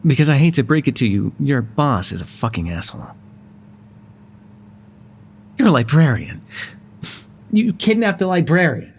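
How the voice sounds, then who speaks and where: Man acting a wry mobster with animated pitch. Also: breathy edge. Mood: exasperated, sardonic. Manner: sardonic and conversational, swinging from exasperated to soft. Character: wry, courteous, exasperated male mafia boss, charming. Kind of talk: ASMR roleplay voice acting.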